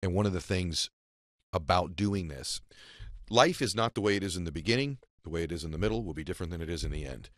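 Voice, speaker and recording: Brief: very deep, quick-talking host, emphatic, dry booth sound. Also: male voice, no echo. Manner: emphatic, hand-gesturing, motivational. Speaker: podcast host. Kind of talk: reflective interview talk. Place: radio studio. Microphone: studio boom mic, close.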